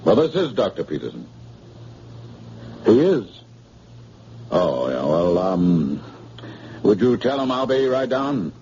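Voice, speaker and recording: An actor playing a calm, brisk hospital doctor in a 1950s radio drama. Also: male voice, on the low side, noisy background, full character voice. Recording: dull lo-fi old radio broadcast.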